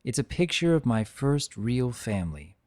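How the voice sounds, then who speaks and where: A man voicing an aged, gentle dragon in a dramatic reading, reflective and sentimental as he tells his own story. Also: medium pitch, very animated, moderate pace, close to an everyday voice. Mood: fond reminiscence. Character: aged dragon, gentle, nostalgic, fondly guarding keepsakes.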